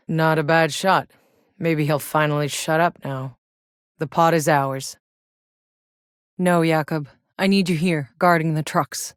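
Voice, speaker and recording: A woman's voice: sits low, dry recording, clean studio sound. An actress playing a tough, sardonic female soldier in a sci-fi shooter game, with a wry, blunt delivery. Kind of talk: video game voice lines, naturalistic acting.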